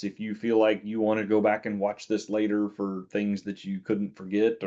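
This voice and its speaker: A man's voice: on the low side, darkish tone. A man moderating a webinar, relaying questions in a friendly, lightly joking way. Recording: dull lo-fi audio.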